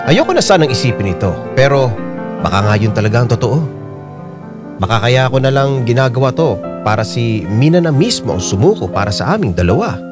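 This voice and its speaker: A troubled young husband who cheats and gets defensive about it, played by a male actor in a radio drama. His mid-pitched voice moves expressively as he confides and argues. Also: close to an everyday voice. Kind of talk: Filipino radio drama acting and first-person narration.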